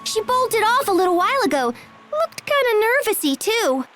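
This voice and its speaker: An actress playing an excitable, quirky young girl adventurer in a video game, slangy and exuberant. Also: extremely high pitch, normal speed. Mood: bubbly. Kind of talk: animated video game voice acting. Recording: loud music behind the voice.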